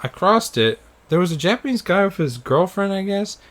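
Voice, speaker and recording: A man's voice: sits high, sing-song, normal speed, a bit bright. A man co-hosting a podcast in casual, informal chat, telling anecdotes and acting out what people said. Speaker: podcast co-host.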